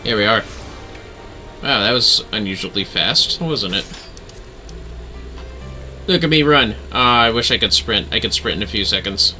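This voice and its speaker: A man's voice: on the low side, lively intonation, normal speed, long pauses, pushed and bright, faint music behind. A man giving casual, chatty livestream commentary on a game he is playing, gushing and swearing freely. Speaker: game streamer.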